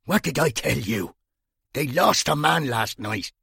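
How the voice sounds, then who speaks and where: A man playing a cautious older bouncer with a gravelly, husky voice and a lively lilt. He is placating and firm, with a bright edge on the rasp. The sound is dry and close. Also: medium pitch, a little slow, no room sound, full character voice.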